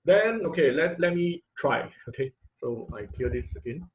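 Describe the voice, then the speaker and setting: A man giving an informal live technical lesson as an instructor, speaking haltingly, repeating phrases and often checking in with "okay" and "right". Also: fairly high pitch, expressive, slight background noise, poor audio. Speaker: online class instructor.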